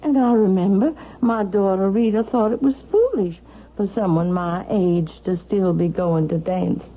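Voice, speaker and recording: A woman's voice: mid-range voice, moderate pace, lightly acted. Imperious, demanding older matriarch, played by a woman, 1970s radio drama, narrow tinny recording. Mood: bewildered, testy. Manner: haughty, demanding, sharp-tongued. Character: imperious, indignant older Southern mother recovering in hospital.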